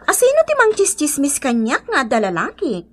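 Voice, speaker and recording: A woman's voice: huge pitch swings, normal speed, a bit bright, full character voice. A woman with a northern Philippine accent playing a fiery, combative schoolteacher in a comedy radio drama. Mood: indignant. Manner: shrill, combative, challenging. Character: indignant, fiery female schoolteacher accused of cheating.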